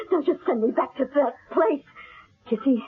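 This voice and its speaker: A woman's voice: high-pitched, big pitch swings, measured pace. A woman playing a genteel but deranged, cold-blooded murderess in a melodramatic old-time radio mystery. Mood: cold menace. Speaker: actress. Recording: muffled, narrow-band old radio recording.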